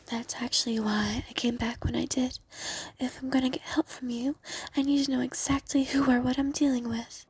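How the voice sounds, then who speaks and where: A woman voicing a curious, easily bored succubus in a whispered ASMR roleplay, breathy and casual, with an inquisitive, teasing manner. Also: high-pitched, little pitch movement, normal speed, darkish tone.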